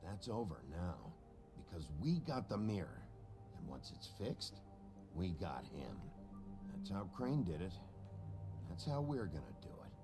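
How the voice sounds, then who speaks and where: An actor playing a gruff, weary sheriff in a game, talking in a blunt, curt way, with game music underneath. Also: male voice, low voice, big pitch swings, measured pace, full character voice. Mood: grim.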